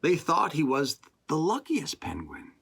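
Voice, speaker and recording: A man with a husky voice narrates a rhyming story for children. His delivery is slow and deliberate, with expressive pauses. The pitch sweeps widely as he reads.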